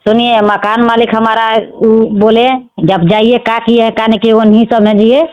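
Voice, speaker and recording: A woman's voice: on the high side, little pitch movement, moderate pace, smooth. Defensive woman on a muffled phone call. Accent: Jharkhand Bhojpuri-influenced Hindi. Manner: complaining, defensive. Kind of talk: heated phone conversation. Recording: dull lo-fi recorded phone call.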